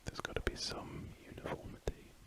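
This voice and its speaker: A man in his twenties whispering a soft, rambling commentary while he draws, as an ASMR host. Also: moderate pace. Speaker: art channel host.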